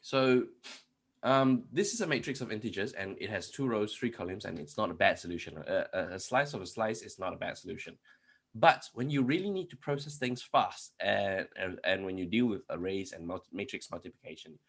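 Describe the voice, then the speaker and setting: A man with a low voice explains programming concepts to a conference audience. His tone is slightly husky, and his explanations loop back on themselves with fillers and restarts. Keyboard typing is heard now and then behind him. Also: expressive, fairly quick, a bit bright. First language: not Polish. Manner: explanatory, many restarts and fillers, asks rhetorical questions. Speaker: conference speaker. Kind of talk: live-coding tech talk.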